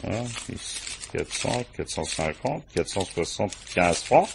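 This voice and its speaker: An actor playing a gruff, paternal, world-weary police commissioner in a French radio crime drama, muttering colloquially with dry irony. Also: male voice, low-pitched, big pitch swings, measured pace, background noise, heavily acted. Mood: ironic, probing. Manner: colloquial, muttering, dryly ironic.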